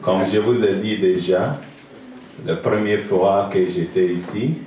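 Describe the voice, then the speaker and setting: A man from Ghana with a Ghanaian accent, a native English speaker, preaching as a pastor in halting, hesitant second-language speech, pausing for an interpreter. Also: low-pitched, dark tone, highs cut off. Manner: halting, hesitant French with fillers. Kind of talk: sermon with pauses for interpreter. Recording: echoey church hall from far off, faint noise.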